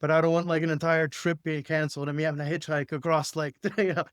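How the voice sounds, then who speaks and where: Clear-voiced man with a dry, close sound. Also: fairly high pitch, flat delivery, fast talker, no room sound. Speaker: podcast host and energy healer. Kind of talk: casual spiritual talk, guiding a healing session.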